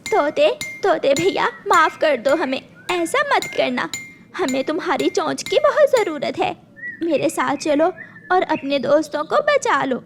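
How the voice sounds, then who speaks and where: Woman as a shrill, urgent little cartoon bird. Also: expressive, a little slow, a bit bright, a little hiss or hum, exaggerated voice. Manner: pleading, urgent. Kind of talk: children's cartoon dialogue.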